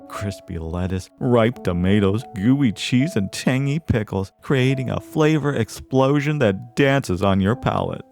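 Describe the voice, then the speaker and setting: Tragic male clown pitchman, played by a man: lively swooping pitch, theatrically friendly yet needy, wistful radio-ad storytelling, gravelly edge. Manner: drawn-out, dramatic, wistful storytelling.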